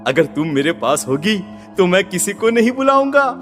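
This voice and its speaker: A man plays a creepy, coaxing village husband in a horror audio story. His voice sits very high for a man and moves in lively swoops, wheedling as he goes, over faint music. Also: moderate pace. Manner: wheedling, questioning hums, some stammering.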